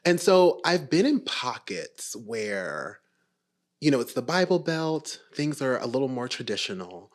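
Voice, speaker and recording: An American man from Maryland hosting a casual podcast chat in an expressive, affirming and playful way. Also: mid-range voice, measured pace. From Maryland, United States. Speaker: podcast host. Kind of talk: casual, animated interview chat.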